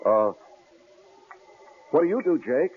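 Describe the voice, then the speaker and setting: An actor playing a timid young husband in an old radio drama, speaking in halting, hesitant phrases. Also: male voice, a little high, very animated. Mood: uneasy. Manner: hesitant, halting, full of uh's. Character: timid, polite young male engineer husband. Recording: tinny old radio broadcast, faint hiss.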